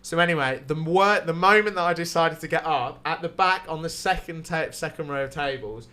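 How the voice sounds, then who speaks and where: A young man from Wales with a southern English accent, telling sweary, animated stand-up stories on a casual podcast. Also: a little high, a little fast. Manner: sweary, animated anecdotes with acted-out quotes. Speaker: stand-up comedian guest.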